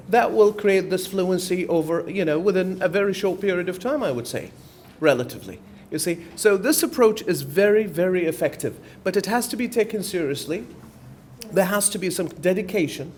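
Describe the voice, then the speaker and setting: A man in the audience of a conference workshop, speaking emphatically and filling pauses with "basically" and "you know". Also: high-pitched, darkish tone, slight background noise. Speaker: audience member, lecturer. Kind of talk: spontaneous comment. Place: conference room.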